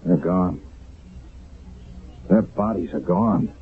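A troubled wartime American sergeant, speaks low and wearily in the manner of seventies radio drama. The sound is muffled and narrow, like an old broadcast. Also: male voice, slow, slightly rough, full character voice. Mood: grim, troubled. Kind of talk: 1970s radio drama acting.

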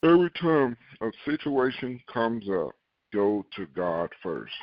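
A man preaching a sermon, reading scripture and acting out worried believers in a raised voice, repeating key lines slowly for emphasis. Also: mid-range voice, very animated, no room sound, highs cut off. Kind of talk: podcast sermon.

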